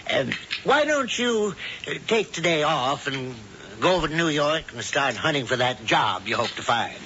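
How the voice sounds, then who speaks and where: A hearty old blacksmith whose bright voice swings up and down as he dodges questions. It comes through a dull old radio broadcast with faint hiss. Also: a little high, sing-song, normal speed. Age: older. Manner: hearty, nervously evasive. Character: jovial older blacksmith, secretly scheming. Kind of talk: 1970s radio drama acting.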